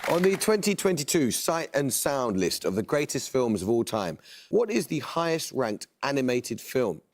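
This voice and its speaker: A male quiz show host with an ordinary mid-pitched voice. He reads each question carefully and precisely at a steady clip, in a TV studio. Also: a faint crowd. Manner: measured, precise question reading. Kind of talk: scripted quiz hosting.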